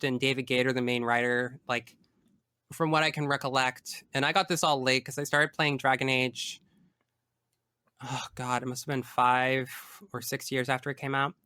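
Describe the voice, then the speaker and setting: Bright, clear-voiced man chatting opinionatedly. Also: mid-range voice, no echo, studio quality. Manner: opinionated, emphatic, digressive. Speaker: podcast guest. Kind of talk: casual chat about video games.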